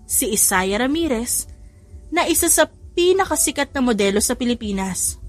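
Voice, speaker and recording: Lady reading a dramatic romance audiobook, kinda high voice, light music behind. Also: animated, normal speed. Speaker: audiobook narrator. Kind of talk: dramatic scripted story narration. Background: background music.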